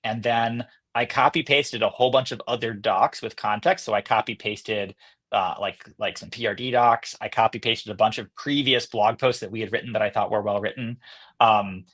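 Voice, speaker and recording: A man's voice: lowish voice, fairly even intonation, normal speed, a bit bright. A man speaking casually as a company executive guest in an interview, feeling his way through his answers as he talks.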